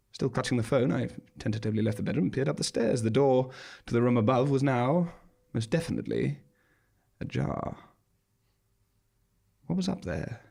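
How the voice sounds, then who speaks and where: Creaky-voiced man telling a dramatic story. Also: medium pitch, darkish tone. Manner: arch, droll, dramatic delivery. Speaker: monologue performer. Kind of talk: theatrical scripted first-person storytelling. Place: small theatre stage, live performance.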